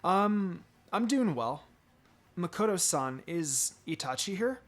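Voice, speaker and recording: A man's voice: sits high, lively intonation, slow pace, exaggerated voice. A man voicing a polite, hesitant, caring young ninja girl in a fan fiction reading.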